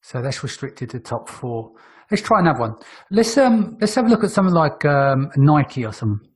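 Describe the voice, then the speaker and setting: A man's voice: fairly high pitch, sing-song, brisk, breathy edge. A man hosting a podcast, chatting casually, with brief agreements and questions that steer his guest. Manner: short agreeing interjections and prompting questions. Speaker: podcast host.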